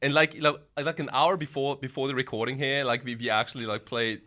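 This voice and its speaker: A man's voice: mid-range voice, fairly even intonation, fast, sharp tone. A man co-hosting a podcast in casual, slangy, blunt conversation. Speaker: podcast co-host. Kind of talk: casual chat.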